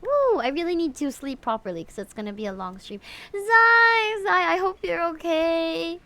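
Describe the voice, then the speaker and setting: A woman's voice: very high-pitched, very animated, moderate pace, pushed and bright, no echo. A woman chatting casually on a game livestream, often addressing her viewers. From Philippines. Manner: chatty, frequently greeting viewers. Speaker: livestream host playing a story game. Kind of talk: casual livestream chat mixed with reading game dialogue aloud.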